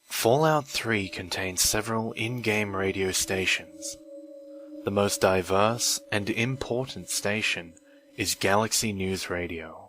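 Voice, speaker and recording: A man narrating a scripted horror story in a measured, deliberate way with pauses, recorded on an old microphone with some odd noise. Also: fairly low pitch, fairly bright tone. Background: background music.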